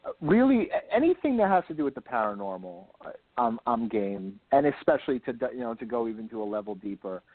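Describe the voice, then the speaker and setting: A man speaking as an author guest in a casual interview, enthusiastic and rambling, repeating words for emphasis, with a slightly husky voice. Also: mid-range voice, very animated, quick pace. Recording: lo-fi phone-like line, roomy echo.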